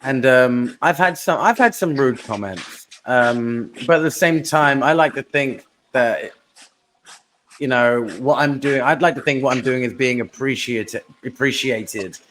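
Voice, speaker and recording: Male host, mid-pitched, casual chatty delivery, faint noise behind. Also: measured pace, fairly bright tone. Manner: conversational, repeats words while thinking. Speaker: podcast host. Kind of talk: casual interview chat.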